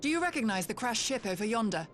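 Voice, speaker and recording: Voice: mid-range voice, very animated, quick pace, a bit bright, a little hiss or hum. An actor playing a courteous, formal retainer in a fantasy video game, with a deferential manner. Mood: grave. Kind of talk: dramatic video game dialogue.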